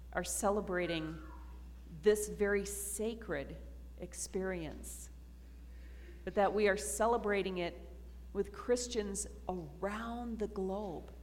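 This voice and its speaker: Slow-speaking woman preaching in a hall. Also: mid-range voice. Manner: slow, reflective, earnest. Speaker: pastor. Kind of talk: communion sermon. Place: church sanctuary. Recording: reverberant church hall.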